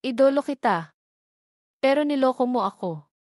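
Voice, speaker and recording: A woman's voice: sits high, animated, moderate pace, a little mellow, dry recording, studio quality, pitched above the performer's own voice. Woman acting out a wronged, fiery young Filipina. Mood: angry, betrayed. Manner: emotional, accusing. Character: wronged, angry, proud young working woman.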